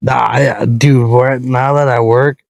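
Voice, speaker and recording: A man's voice: mid-range voice, flat delivery, takes it slowly, vocal fry, darkish tone, no echo. A man from California with a slight Southern drawl, co-hosting a podcast and telling rambling, profane stories in casual chat. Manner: laid-back, profane, rambling storyteller. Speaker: podcast co-host.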